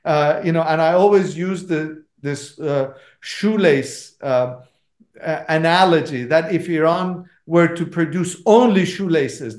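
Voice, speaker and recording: A man's voice: fairly high pitch, echoey room. A man with an Iranian accent, an author answering audience questions at a book talk, speaking emphatically with frequent fillers and restarts.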